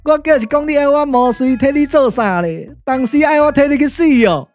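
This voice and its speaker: A cheeky immortal magician from a Taiwanese puppet radio drama, played by a man. He boasts and grumbles in a folksy, clownish way with a Taiwanese accent and plenty of exclamation. Soft music runs underneath. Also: very high-pitched, a little fast. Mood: aggrieved grumbling.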